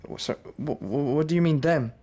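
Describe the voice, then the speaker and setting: A man's voice: a little high, a little fast, mellow, close to an everyday voice. A man playing a stammering young musician. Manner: stammering, hesitant restarts. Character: young man with schizophrenia, anxious, hopeful musician. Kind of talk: radio drama acting.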